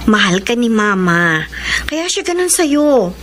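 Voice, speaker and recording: A woman's voice: fairly high pitch, very animated, slow, a bit bright, heavily acted. A woman playing a dutiful married elder sister, preachy and reassuring, in a Filipino drama. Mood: concerned, advising. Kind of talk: Filipino audio drama acting. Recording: faintly noisy amateur recording.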